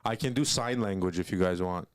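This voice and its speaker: A man's voice: sits low, brisk, dry recording. A man co-hosting a livestream, joking and teasing in casual chat. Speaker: co-host.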